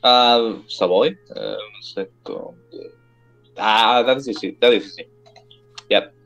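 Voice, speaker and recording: A man playing a strategy game online, talking haltingly and searching for words, often clearing his throat. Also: mid-range voice, a bit bright, poor audio. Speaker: fellow player. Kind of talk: casual multiplayer voice chat.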